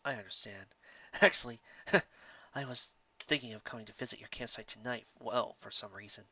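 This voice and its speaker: A storyteller playing a kind, calm young male stag who speaks softly and reassuringly. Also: no room sound, far from the mic, highs cut off. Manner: soft, low-key, gentle, measured.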